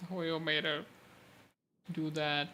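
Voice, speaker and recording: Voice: mid-range voice, little pitch movement, slow, sharp tone, a little hiss or hum. A streamer giving casual gameplay commentary, chill and musing aloud while playing. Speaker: streamer playing a story game. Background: game music.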